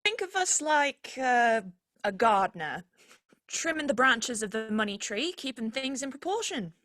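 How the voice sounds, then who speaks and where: A playful merry outlaw in a radio drama. Her voice is fairly high and swings about with great animation. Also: sing-song, a little slow, fairly bright tone. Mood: playful, explaining. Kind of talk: radio play acting.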